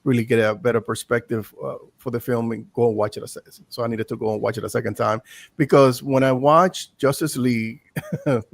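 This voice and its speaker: A man's voice: mid-range voice, fairly quick, gravelly, no room sound. A man in his early fifties, a guest on a live review, talking in a rambling, self-correcting way. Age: 50s. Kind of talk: casual chat.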